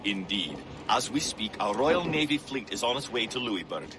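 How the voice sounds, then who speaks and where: A man's voice: fairly low pitch, very animated, normal speed, noisy background. A man playing a courteous, formal British naval captain in a video game. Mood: grave. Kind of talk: video game cutscene acting.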